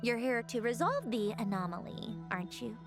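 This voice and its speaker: A woman playing a composed, logical young female android in a video game, measured, dry and matter-of-fact. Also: on the high side, big pitch swings. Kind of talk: dramatic video game acting. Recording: game dialogue under clear music.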